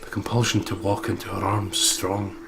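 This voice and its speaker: A man's voice: low-pitched, little pitch movement, fairly quick, gravelly, a crowd in the background, rough recording. A man reading first-person horror narration aloud dramatically as a let's play host, with game music behind him. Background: prominent game music.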